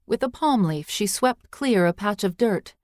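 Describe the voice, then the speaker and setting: A woman reading scripted fiction as an audiobook narrator, with measured, expressive storytelling. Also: mid-range voice, no echo.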